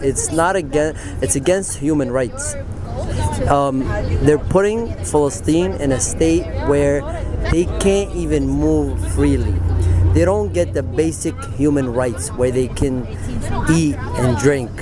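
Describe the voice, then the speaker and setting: A man's voice: a little high, takes it slowly, crowd noise. A man from Yemen, a protester giving an impassioned street interview statement in short, repeated slogans.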